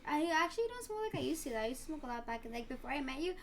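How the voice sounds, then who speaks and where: Woman from mexico, high voice, breathy, casual guest, crowd noise behind. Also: lively intonation, very fast. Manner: laughs often, breathy and soft-spoken. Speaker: podcast guest. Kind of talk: casual chat.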